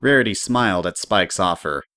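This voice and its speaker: Casting: storybook narrator, extremely low voice, close and dry. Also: animated, normal speed, sharp tone, no echo.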